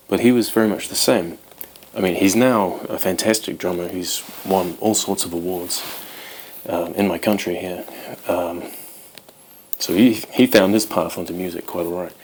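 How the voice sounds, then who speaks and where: A man's voice: low-pitched, darkish tone, slight background noise, poor audio. A man in his twenties, born in Wales, chatting casually to camera as host of his guitar channel, rambling with many ums. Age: 20s.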